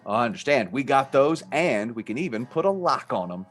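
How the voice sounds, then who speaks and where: Lively, folksy Western shopkeeper. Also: male voice, mid-range voice, normal speed. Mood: friendly, obliging. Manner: folksy, obliging drawl. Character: folksy, friendly cowboy-style leather shopkeeper. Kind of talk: comic radio ad sketch. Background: plucked guitar music.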